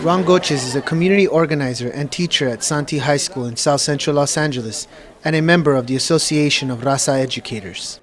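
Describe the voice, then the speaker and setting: A man reads scripted documentary narration in an even, formal way. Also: on the high side, a little fast, crowd noise.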